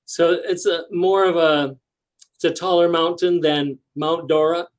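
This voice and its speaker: A man's voice: a little high, fairly even intonation, unhurried. A man hosting a radio talk show, asking his guest questions in an easygoing way. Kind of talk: interviewing a guest.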